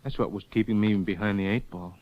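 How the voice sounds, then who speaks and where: An actor playing a modest, hesitant young man named Sam in a 1940s radio drama, speaking softly. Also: lowish voice, fairly even intonation, fast, lightly acted. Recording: dull old radio broadcast.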